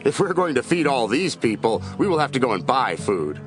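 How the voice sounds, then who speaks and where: An actor playing an earnest, eager male disciple in a Bible audio drama, expressive in delivery. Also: medium pitch, fairly quick, over music, lightly acted. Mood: worried, doubtful.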